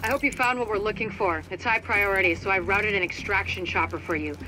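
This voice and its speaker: Brisk actress as a female mission coordinator, noisy backdrop. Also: medium pitch, natural voice. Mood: businesslike. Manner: businesslike, direct. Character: brisk, determined female agent giving mission orders. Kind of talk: video game radio briefing.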